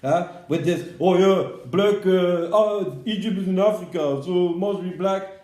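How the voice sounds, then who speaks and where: A man's voice: high voice, measured pace, reverberant. A man from the Netherlands, ranting angrily as a commentator and swearing a lot. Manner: heated, swearing, shouting bursts. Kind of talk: angry profane rant.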